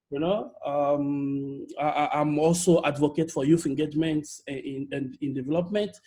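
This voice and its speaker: A young man from Togo speaks English with a French accent, his voice sitting a little higher than most men's. He leads an online workshop over a video call with lively energy, often stumbling, repeating and restarting words as he goes. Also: slight background noise, poor audio. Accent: Togolese French. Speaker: youth leader speaker.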